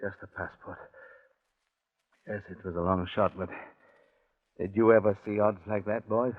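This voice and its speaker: A man acting a scheming, smooth-talking swindler in a 1940s radio suspense drama, telling his story in a confiding, polite way. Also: fairly low pitch, fairly even intonation, normal speed, close to an everyday voice. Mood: tense, confiding. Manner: confiding first-person storytelling, polite in dialogue. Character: scheming, smooth-talking male swindler confessing his long-shot con. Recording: dull 1940s radio recording, music behind.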